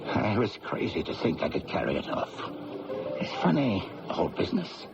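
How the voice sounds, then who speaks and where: A man's voice: on the low side, huge pitch swings, moderate pace, gravelly, full character voice. An actor playing a roguish, hard-drinking man in a radio drama, breezy, wry and unrepentant about being caught as an impostor. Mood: wry, resigned. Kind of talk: old-time radio drama acting. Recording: dull radio broadcast with loud music.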